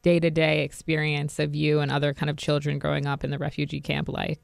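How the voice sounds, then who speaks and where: Brief: male podcast host, quick gravelly voice, brief prompts, dry sound. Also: fairly high pitch, monotone, dry recording. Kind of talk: interview questions.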